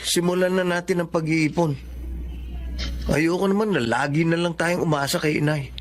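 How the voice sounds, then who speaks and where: A man's voice: on the high side, fairly even intonation, normal speed, soft background music, lightly acted. A man playing a firm young husband.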